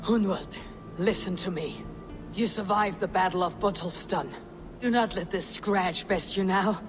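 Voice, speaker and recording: An actress playing a fierce Viking war leader in a game cutscene, with a husky voice and grave, terse command. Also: female voice, medium pitch, a little slow, over music, close to an everyday voice. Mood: grave, consoling. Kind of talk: dramatic game cutscene acting.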